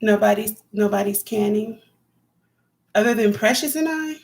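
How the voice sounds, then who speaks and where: A raspy-voiced woman chatting loosely to her viewers on a live stream, addressing them by name as she rambles along. Her voice carries a strong gravelly fry at a middle pitch. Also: moderate pace, darkish tone. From California. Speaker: homesteading vlogger.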